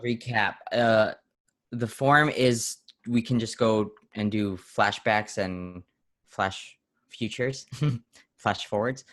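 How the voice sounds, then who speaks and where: A man's voice: fairly low pitch, fairly even intonation, takes it slowly, fairly bright tone. Casual man checking the plan. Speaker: improv cast member. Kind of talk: casual chat.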